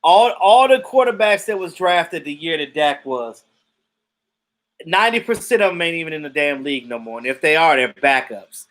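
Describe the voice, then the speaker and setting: A man's voice: a little high, lively intonation, normal speed, a bit bright. A man in his thirties who hosts a live stream, chatting loosely about football and answering viewers, outspoken and swearing freely. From Jamaican family roots. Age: 30s. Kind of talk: casual sports chat reading viewer comments.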